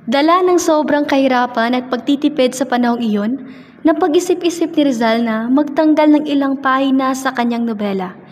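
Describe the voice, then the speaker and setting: A woman's voice: high voice, moderate pace, darkish tone. A woman with a Filipino accent reading scripted narration and announcements for a radio drama. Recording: reverberant recording with music behind.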